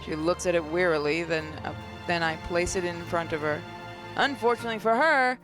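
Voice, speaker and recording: A woman's voice: fairly low pitch, a little fast, music in the background, low-quality recording. A woman reading a visual novel's narration aloud, now and then stumbling and rereading a phrase. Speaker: gaming channel host.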